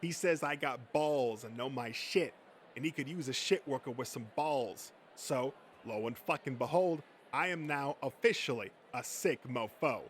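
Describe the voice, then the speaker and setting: A man's voice: medium pitch, moderate pace, a little hiss or hum, close to an everyday voice. A man acting a boastful, crude male horror fan in a comic dub, telling stories with profane swagger. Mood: proud.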